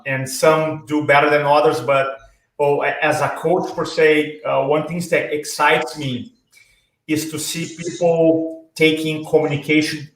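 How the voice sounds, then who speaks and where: A man's voice: fairly high pitch, a bit bright. Enthusiastic man in an echoey room. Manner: enthusiastic, praising, halting with "uh" fillers. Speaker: speaking coach and host. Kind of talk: interview over video call.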